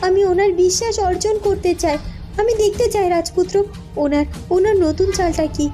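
Charulata, a calm clever young princess, voiced by a woman. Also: extremely high pitch, moderate pace, faint music behind.

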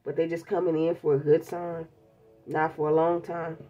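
A woman's voice: deep voice, flat delivery, normal speed, lo-fi sound, soft background music. A woman with a stuffed-up cold, chatting bluntly and casually through a tarot reading, calling her viewers babies. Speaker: tarot reader. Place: indoors at a table covered in tarot decks.

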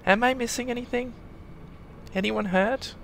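A woman's voice: on the high side, steady tone, normal speed, vocal fry, fairly bright tone, a little hiss or hum, pitched above the performer's own voice. A man voicing a bubbly, upbeat teenage girl in a perky, sing-song way. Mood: cheerful. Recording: game soundtrack music behind.

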